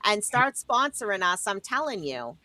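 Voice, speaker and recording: Talkative woman w/ new york-ish accent rambling on a livestream, bright voice. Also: mid-range voice, very animated, moderate pace, dry recording, poor audio. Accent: New York-sounding. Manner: chatty, talkative rambling storyteller. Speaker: livestream co-host. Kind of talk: casual livestream chat.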